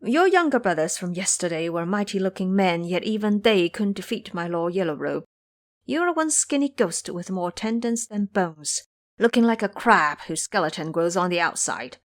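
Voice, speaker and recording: A woman's voice: fairly low pitch, expressive, moderate pace, lightly acted. A woman voicing a proud, sorrowful captive princess in an audio drama, speaking in an earnest, formal and dramatic way. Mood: scornful.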